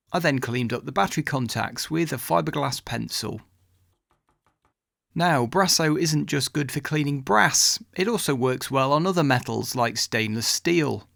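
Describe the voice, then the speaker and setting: A man narrates a scripted voice-over in a mid-pitched voice with plenty of creak. The sound is close and very dry, with no room around it. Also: fairly bright tone, dry recording.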